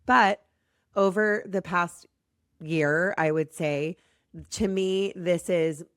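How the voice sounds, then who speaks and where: An American woman hosting a podcast in a chatty, rambling, self-deprecating way, her voice slightly raspy as it recovers from being lost. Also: fairly low pitch, steady tone, slow pace, no room sound, studio quality. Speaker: podcast host.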